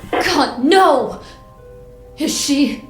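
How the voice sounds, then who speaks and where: A woman's voice: high voice, animated, extremely slow, echoey room, music in the background, full character voice. A woman playing a protective, defiant female detective in an audio drama, with an earnest, firm way of speaking. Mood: shaken, guarded.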